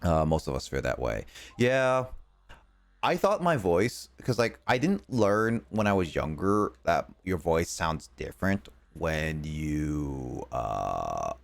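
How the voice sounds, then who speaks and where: A young American man streaming games, talking casually in halting, rambling bursts full of fillers and restarts. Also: extremely low pitch, very animated, gravelly, no echo. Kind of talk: casual chat while gaming.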